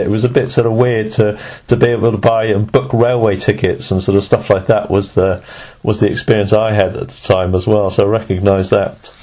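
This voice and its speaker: A man's voice: on the low side, darkish tone. A man hosting a podcast interview over a voice call app, rambling and often restarting his phrases. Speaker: podcast host.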